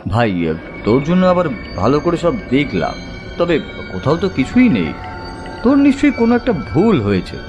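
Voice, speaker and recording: A man's voice: a little high, huge pitch swings, moderate pace, muffled recording. An actor playing a sceptical but reassuring young city man in a horror audio drama. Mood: puzzled. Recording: loud music over the voice.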